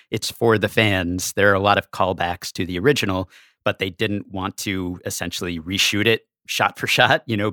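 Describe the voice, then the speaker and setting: A man's voice: on the low side, a bit bright, no echo, clean studio sound. A man speaking as a guest in a conversational movie discussion, articulate and analytical, in long flowing sentences. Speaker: podcast guest, staff writer.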